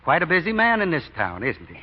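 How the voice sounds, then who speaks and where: An actor playing an amiable, sly small-town deacon with an American accent and a hesitant, folksy drawl, in old-time radio style. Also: male voice, a little high, huge pitch swings, fast talker, husky, heavily acted. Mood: shrewd, casual. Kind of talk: 1940s radio acting. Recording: dull vintage radio recording.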